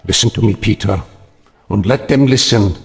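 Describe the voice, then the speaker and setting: A man's voice: on the low side, normal speed, vocal fry, slight background noise. A scornful bitter old man. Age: older. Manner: scornful, cutting, mocking.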